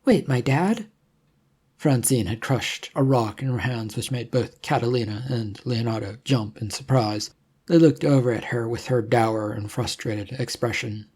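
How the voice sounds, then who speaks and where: Mellow, slightly husky story narrator. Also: mid-range voice, fairly even intonation, moderate pace. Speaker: audiobook narrator.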